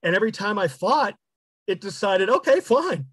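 A man's voice: high-pitched, expressive, normal speed, a bit bright, breathy edge, no echo, clean studio sound. A middle-aged man giving a candid interview account over a video call from a small room. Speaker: radio traffic reporter being interviewed. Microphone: video call with headset.

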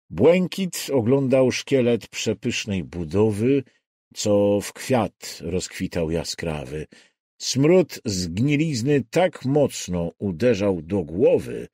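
A man reads as an audiobook narrator with deliberate, dramatic phrasing. His voice sits on the low side and moves widely in pitch. He takes his time, and the recording is dry and close. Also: warm tone, husky, no room sound. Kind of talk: scripted literary audiobook narration.

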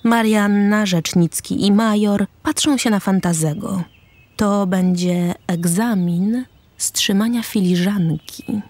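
A woman's voice: medium pitch, takes it slowly, a little mellow, no echo. A woman reading scripted narration for an audio drama, describing the action as narrator. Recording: music under the voice. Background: music.

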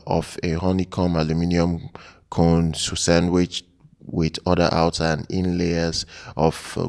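A man giving unscripted product news commentary in a halting, hesitant way, often repeating his phrases. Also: deep voice, vocal fry, a little mellow.

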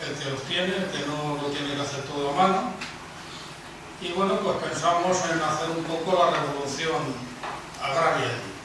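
A man speaking to an audience in a plain storytelling way, with many fillers and a slightly higher voice. He sounds far off in a very echoey hall. Room noise stays audible behind him. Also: moderate pace. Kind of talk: conference talk, recounting experience. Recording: echoey hall, distant mic.

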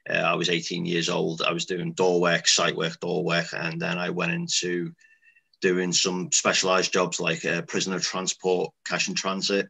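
A man's voice: deep voice, monotone, normal speed, gravelly, bright, forceful tone, slightly rough. A young man with a Liverpool accent talking casually and matter-of-factly about his work as a security dog handler over a video call. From Liverpool, England. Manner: conversational, matter-of-fact, loose with grammar. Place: small room indoors. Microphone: webcam on video call.

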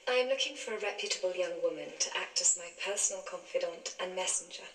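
A woman's voice: lowish voice, fast, fairly bright tone, natural voice. An actress playing a gracious, composed young queen in a period television drama. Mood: gracious, formal. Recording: roomy, distant TV drama sound.

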